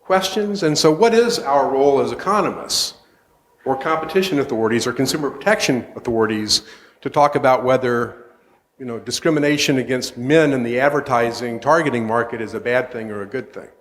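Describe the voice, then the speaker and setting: A man, an economist, putting a long question from the audience with many hesitations and restarts. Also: medium pitch. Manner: halting, many restarts and hedges. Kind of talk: question from the floor. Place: conference hall. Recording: reverberant conference hall.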